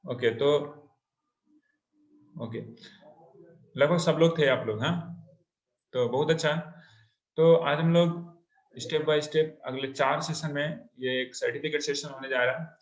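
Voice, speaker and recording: A man with an Indian accent and Hindi as his mother tongue presents an interactive webinar, encouraging listeners and repeating phrases. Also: mid-range voice. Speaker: online course instructor. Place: indoor room, online video call. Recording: echoey room on online call.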